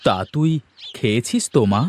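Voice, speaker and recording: Cartoon dad, played by a man: mid-pitched, expressive, concerned and caring. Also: normal speed. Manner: solicitous, questioning. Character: caring, concerned father of a young girl. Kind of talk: animated story voice acting.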